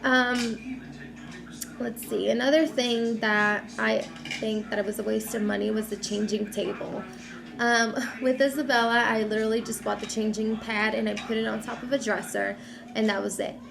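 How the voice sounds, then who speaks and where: A young mom talking straight to camera, her voice a bit raspy from a cold and edged with gravelly fry. She sits a little higher in pitch than most women and rambles in a friendly, unscripted way, full of "um" and "like". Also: level tone, normal speed. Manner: chatty, lots of "um" and "like". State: has a cold, slightly raspy. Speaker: mom vlogger. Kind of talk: casual chat to camera.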